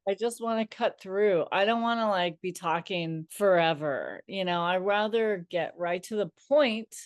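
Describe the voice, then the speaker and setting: A woman's voice: medium pitch, a little slow, dry recording, lo-fi sound. A woman co-hosting a podcast in casual chat, laughing often and talking in loose, run-on thoughts. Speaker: podcast co-host.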